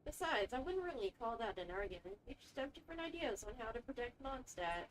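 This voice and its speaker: A woman's voice: fairly high pitch, very animated, brisk, a little hiss or hum. A woman game streamer giving casual reaction commentary as she plays.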